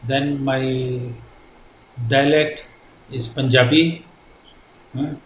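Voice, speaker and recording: A man's voice: medium pitch, level tone, fairly bright tone. Older Indian man recalling his past. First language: Hindi. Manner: halting, many fillers and restarts, earnest. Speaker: interviewee. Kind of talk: casual interview answers. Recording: echoey room with faint noise.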